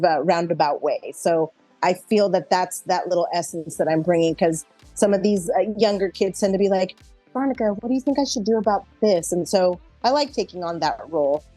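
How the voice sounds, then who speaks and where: A woman's voice: lowish voice, dark tone, no room sound, low-quality recording. A middle-aged woman actor chatting casually in an interview, speaking in a reflective, conversational way.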